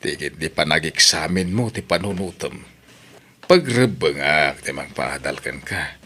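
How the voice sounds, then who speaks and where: Deep-voiced fond father, as a man plays him. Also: expressive, a little slow, sharp tone, slightly rough. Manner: affectionate, laughing, fatherly. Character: warm proud father, middle-aged.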